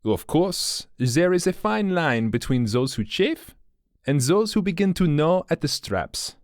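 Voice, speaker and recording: A man voicing an older gray-haired French male enforcer with a French accent, courteous and measured with veiled threats. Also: on the high side, very animated, no room sound, studio quality, voice pushed up. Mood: silkily menacing.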